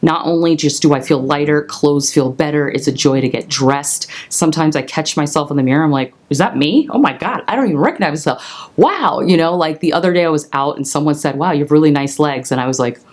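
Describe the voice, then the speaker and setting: A woman with a low, commanding voice tells her personal story on her vlog. She speaks candidly and expressively, her voice jumping higher when she gets excited. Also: brisk. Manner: expressive and candid, with lively jumps in pitch. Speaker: vlogger.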